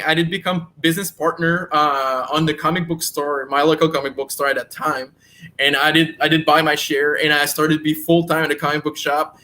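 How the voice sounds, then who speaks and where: Eager French-Canadian man, bright voice. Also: a little high. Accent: Quebec French. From Quebec. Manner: eager, repeats words while searching for English. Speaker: guest comic creator. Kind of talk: casual interview talk.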